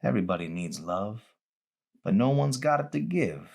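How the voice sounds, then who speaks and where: Audiobook role: male reader as elderly dying patient, raspy, folksy, bitter but tender-hearted. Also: on the low side, very animated, normal speed, darkish tone, voice pushed up, exaggerated voice. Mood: earnest. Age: older. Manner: colloquial, folksy, wistful preaching.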